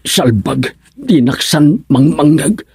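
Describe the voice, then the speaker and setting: An actor with an Ilocano accent playing a flustered, lovestruck comic village suitor in a radio comedy, stammering and exclaiming. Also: male voice, a little high, expressive, takes it slowly, gravelly, darkish tone, breathy edge, dry recording, studio quality, heavily acted.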